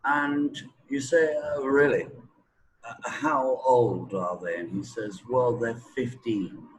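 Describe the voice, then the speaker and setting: A middle-aged man giving opinionated, deliberate answers with long pauses in a conversational interview. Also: medium pitch, low-quality recording. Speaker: English teaching expert being interviewed.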